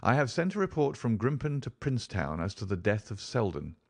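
A man's voice: mid-range voice, very animated, a little fast, vocal fry, husky, exaggerated voice. A man voicing a keen, commanding detective in an audiobook, assured, precise and theatrical, with dry amusement. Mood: eager, confident. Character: keen, commanding male detective, dryly amused and relishing the hunt. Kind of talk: audiobook character voice.

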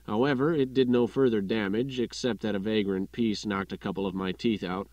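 A man's voice: lowish voice, fairly even intonation, fairly quick, a little mellow, no room sound, lo-fi sound. A man reading a literary short story as an audiobook narrator, in scripted narration.

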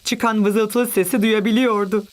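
A woman voicing a gentle storybook narrator for a children's cartoon, reading scripted narration. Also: medium pitch, steady tone, measured pace, natural voice. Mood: gentle, bright storytelling.

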